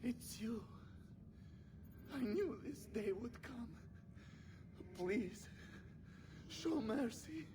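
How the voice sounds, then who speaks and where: Shrill, high-pitched fanatical preacher. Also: male voice, lively intonation, drawn-out words, long pauses, noisy background, full character voice. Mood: pleading. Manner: declamatory, archaic religious phrasing. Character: fanatical doomed male preacher, shrieking zealot. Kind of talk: dramatic game cutscene acting.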